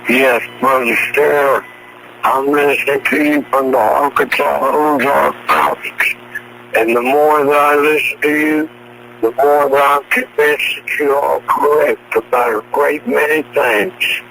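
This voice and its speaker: A man's voice: mid-range voice, fairly even intonation, measured pace, gravelly, pushed and bright. A man from the United States speaking earnestly as a listener giving a testimonial on the radio. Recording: slightly noisy humming radio broadcast.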